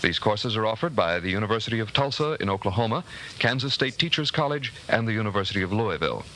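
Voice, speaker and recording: A man with an American accent reading scripted 1940s radio announcements in a formal, polished, measured way. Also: fairly low pitch, lively intonation, fairly bright tone, a little hiss or hum. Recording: old 1940s radio broadcast, music behind.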